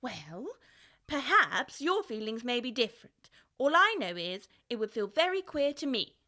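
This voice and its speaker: A woman's voice: a little high, big pitch swings, measured pace, sharp tone, heavily acted. A woman playing a polite, timid and puzzled little girl, hesitant and easily flustered, in a full-cast audiobook. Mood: earnest, slightly irritated.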